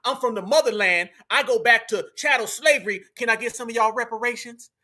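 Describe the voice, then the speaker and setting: A man's voice: very high-pitched, fairly quick, sharp tone. A man from the American South with a Southern accent, hosting a heated reaction commentary and mocking the clips he plays. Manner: heated, mocking, addresses viewers as "y'all" and "fam".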